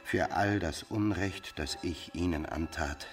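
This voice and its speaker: A man's voice: deep voice, unhurried, soft background music. A man playing a self-centred, callous bachelor lover in a radio drama, with theatrical, self-justifying delivery. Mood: pompous regret.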